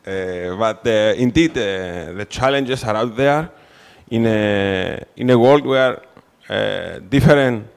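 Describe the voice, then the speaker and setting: A man's voice: fairly low pitch, creaky, smooth. Cypriot man moderating a conference panel. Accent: Cypriot Greek. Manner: halting, many filler sounds. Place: conference hall.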